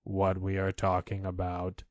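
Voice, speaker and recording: A man acts out a gloomy old donkey from a children's storybook. The voice is deep and very slow, close and dry. He grumbles with long-suffering, deadpan resignation. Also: no echo. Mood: mournful, deadpan.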